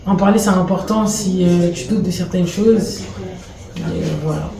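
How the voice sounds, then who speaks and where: Hesitant young woman with a mellow voice. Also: on the low side, steady tone, normal speed, vocal fry. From Paris, France. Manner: hesitant, many fillers. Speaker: workshop participant. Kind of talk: answering interview questions. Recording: echoey room, mic at a distance, faint noise.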